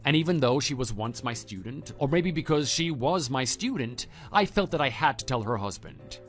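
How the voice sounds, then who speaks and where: A man voicing a stern, principled former schoolteacher in a scripted audio drama, speaking in a measured, matter-of-fact way. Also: mid-range voice, animated, close to an everyday voice. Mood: firm, reproving. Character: stern, principled male former homeroom teacher, calm and reproving. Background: background music.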